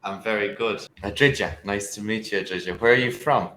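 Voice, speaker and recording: A man's voice: fairly low pitch, a little fast, pushed and bright, reverberant. An Irish man tutoring English over a video call, talking casually and hesitantly with lots of fillers and restarts. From Ireland.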